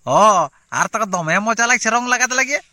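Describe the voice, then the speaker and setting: A man's voice: very high voice, expressive, a little fast, bright, forceful tone, no room sound, clean studio sound, full character voice. A man voicing a jealous, hot-tempered village husband and father in a comic cartoon, berating in a rural Purulia Bengali accent. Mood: suspicious, warning. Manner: blustering, berating. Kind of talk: amateur comic cartoon dialogue.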